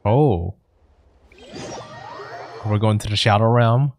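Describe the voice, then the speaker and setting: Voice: mid-range voice, slow, darkish tone, a little hiss or hum. A streamer giving casual playthrough commentary on a video game, reacting informally.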